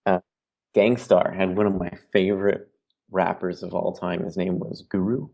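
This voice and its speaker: A man's voice: deep voice, steady tone, moderate pace, vocal fry, dark tone. A man talking earnestly as a guest artist on a podcast, musing on art, honesty and creative work. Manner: earnest, philosophical. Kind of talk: podcast conversation.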